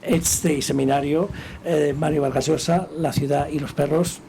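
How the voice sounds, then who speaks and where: A man from England, hoarse as he loses his voice, hosts an event with a gravelly, mellow tone. He welcomes the crowd and introduces a panel of experts, joking at his own expense and stumbling into many restarts. A faint noise sits under his voice. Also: a little high, steady tone, moderate pace. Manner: chatty and self-joking, with many fillers and restarts. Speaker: institute director hosting the event.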